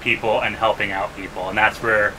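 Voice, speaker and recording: A man's voice: lowish voice, little pitch movement, a little fast, sharp tone, a little hiss or hum, poor audio. A man in casual conversation as an interviewer, chatting in a small workshop.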